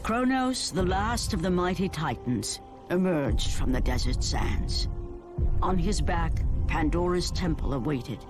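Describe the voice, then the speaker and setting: Solemn epic myth narrator. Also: female voice, fairly low pitch, expressive, unhurried, music in the background. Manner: dramatic, solemn. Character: grave, epic storyteller of ancient myth. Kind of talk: scripted game narration.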